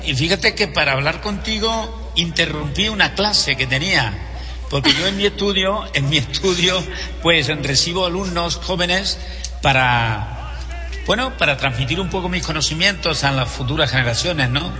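A man's voice: a little high, lively intonation, normal speed, pushed and bright. An older man from Spain with a Spanish accent, a singer and voice teacher chatting effusively as a podcast guest. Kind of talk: casual radio chat. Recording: long hall reverb, faint music.

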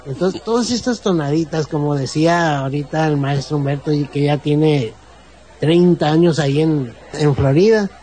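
A man's voice: on the high side, warm tone, slight background noise, rough recording. A man with a Baja California Mexican accent, explaining things at length in a casual group conversation and acting out local sayings. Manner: explanatory, drawn-out, acts out sayings.

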